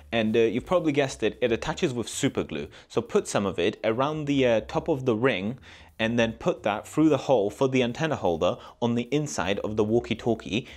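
A man in his thirties giving an informal, self-deprecating DIY tutorial in a casual narrating style. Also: medium pitch. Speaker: maker presenting a DIY build.

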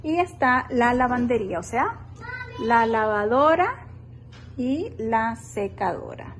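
A woman's voice: a little high, lively intonation, takes it slowly, a little hiss or hum. Chatty woman giving a phone-filmed house tour. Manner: chatty, enthusiastic, addresses viewers as girls. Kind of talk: casual house-tour vlog. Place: indoors in a furnished beach rental house. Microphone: phone held while walking.

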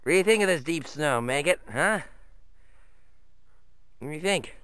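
A man's voice: a little high, animated, normal speed, fairly bright tone, clean tone, no echo. A man talking to his dogs in a sing-song, coaxing way while filming a walk through snowy woods on a handheld camera. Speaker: vlogger walking his dogs.

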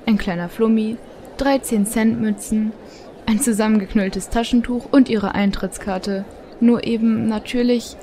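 A woman's voice: medium pitch, warm tone, a little hiss or hum. A woman narrating a scripted story as the storyteller of an audio drama. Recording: faint background ambience.

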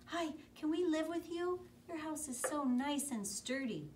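A woman playing a small storybook pig with a high, chirpy voice, cheerful and stubborn. The voice bounces around lively, heard from a distance over steady background noise. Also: measured pace. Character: small proud squeaky little pig. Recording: distant mic with room noise.

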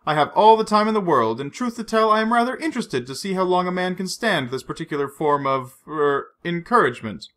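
A man reading a storybook, voicing a suave, menacing male villain who mocks his captive with unhurried threats. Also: high-pitched, lively intonation, a bit bright, voice pushed up. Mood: mocking. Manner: silky, mocking, unhurried threats.

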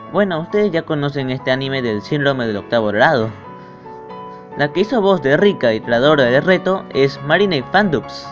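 A man speaking casually to viewers as the host of an amateur fandub channel. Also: fairly high pitch. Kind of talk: casual video outro addressed to viewers. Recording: slightly dull lo-fi microphone, music behind. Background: music.

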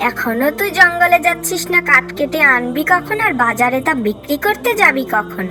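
Greedy, excitable village wife, Bangladeshi accent, very high animated cartoon delivery, faint music underneath. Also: female voice, moderate pace. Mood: scolding. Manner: shrill nagging, dramatic exclamations. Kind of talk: exaggerated cartoon voice acting.

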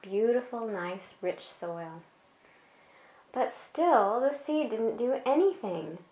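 Slow-paced woman telling a bedtime story. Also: a little high, lively intonation, darkish tone, reverberant, poor audio. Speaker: storyteller reading to a child.

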